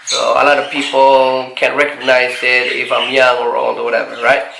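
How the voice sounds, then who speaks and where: A forty-year-old man from the Philippines answering viewer questions in a casual vlog, halting with many fillers and restarts. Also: medium pitch, completely flat delivery, creaky, bright, forceful tone, echoey room, a little hiss or hum, poor audio. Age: 40s. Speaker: car vlogger.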